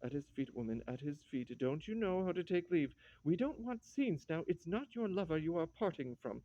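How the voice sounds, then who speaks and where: A woman's voice: deep voice, animated, moderate pace, dark tone, highs cut off. An actress playing a stern, domineering old mother-in-law in a classic stage drama, imperious and disapproving. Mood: scornful.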